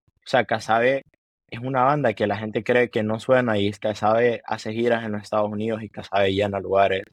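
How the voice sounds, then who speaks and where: Low-voiced Honduran man, dry close sound. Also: fairly even intonation, dry recording. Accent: Honduran Spanish. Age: 20s. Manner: earnest, halting, with many restarts and repeated words. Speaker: musician guest. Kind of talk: casual interview chat, mixing Spanish and English.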